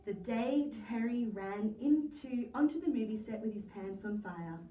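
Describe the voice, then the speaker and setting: A woman reading a children's story aloud as a teacher, in an animated narrating style. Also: fairly high pitch, unhurried. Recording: roomy, distant mic, faint noise.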